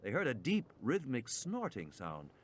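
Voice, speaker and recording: A man reading a suspense novel as a narrator, with measured, enunciated dramatic delivery. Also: medium pitch, huge pitch swings, slight background noise. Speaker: audiobook narrator.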